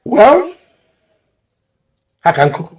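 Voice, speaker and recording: A man's voice: very high voice, huge pitch swings, very slow, darkish tone, recorded from a distance, soft background music. A man being interviewed as a native doctor, speaking in a matter-of-fact way and dropping English words into his answers.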